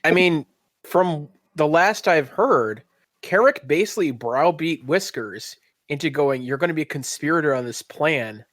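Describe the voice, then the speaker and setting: A man's voice: on the high side, animated, moderate pace, fairly bright tone, dry recording. A man running a tabletop role-playing game, narrating in a theatrical, descriptive storytelling manner. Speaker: game master.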